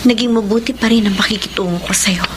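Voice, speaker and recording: An actress playing a principled, worried young sweetheart in a radio drama, earnest and pleading. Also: female voice, mid-range voice, quick pace, lightly acted. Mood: pleading, concerned. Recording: noisy radio drama track.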